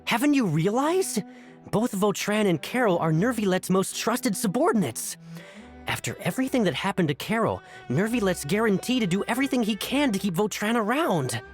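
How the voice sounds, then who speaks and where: A woman's voice: mid-range voice, very animated, moderate pace, soft background music, lightly acted. A woman playing an earnest, emphatic townswoman at a trial in a video game. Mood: conspiratorial insistence. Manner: emphatic, insistent.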